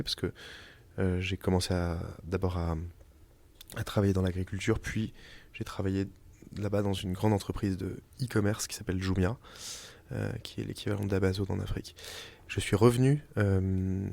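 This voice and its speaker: A young French man, an interviewee telling his story in casual conversation, pausing often with fillers and restarts. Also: sits low, little pitch movement, gravelly, a little mellow. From France. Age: young adult. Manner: hesitant, many fillers and restarts. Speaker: interviewee, company founder. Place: family house in the countryside.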